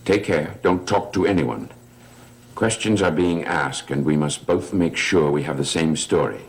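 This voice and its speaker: Super low creaky secretive guy, urgent, clipped, gothic soap. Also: measured pace. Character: secretive, anxious man urging a woman to conspire. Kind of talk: gothic television soap acting.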